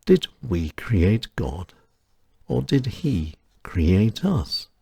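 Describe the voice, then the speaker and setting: A man with a very low, husky voice reads his own reflective essay as the host of his show. His delivery is slow and solemn, with a lot of rise and fall in the melody, and the sound is close and dry. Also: sing-song, dark tone, no room sound. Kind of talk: scripted reflective narration.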